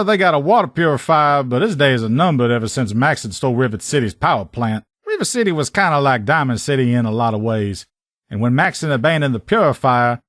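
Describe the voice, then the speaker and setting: Guy acting cocky wasteland lieutenant for a video game, blunt salty talk, pitch jumps around a lot, really dry close sound. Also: fairly bright tone, no room sound, close to an everyday voice. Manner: blunt, colloquial, salty-tongued, sardonic. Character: brash male sheriff's lieutenant, proud power armor mechanic, post-apocalyptic soldier. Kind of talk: video game character dialogue.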